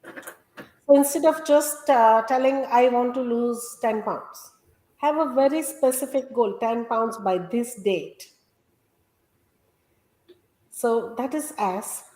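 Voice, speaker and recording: A woman's voice: fairly high pitch, slow, pauses often. A woman from India, a Gujarati speaker, explains her ideas earnestly as an interview guest and health coach. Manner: earnest, explanatory, steady teaching tone. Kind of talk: conversational interview. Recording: echoey room sound.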